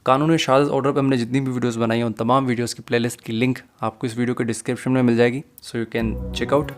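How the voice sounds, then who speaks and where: A man's voice: medium pitch, flat delivery, fast talker, soft background music. A man with a Pakistani accent explains material as a law lecturer, in a methodical, didactic way. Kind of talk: explanatory lesson mixing Urdu and English.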